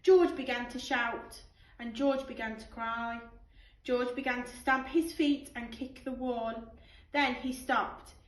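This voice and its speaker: A woman teacher reading a picture book to young children with expressive, measured narration. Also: on the high side. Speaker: primary school teacher reading a bedtime story. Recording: roomy, distant microphone.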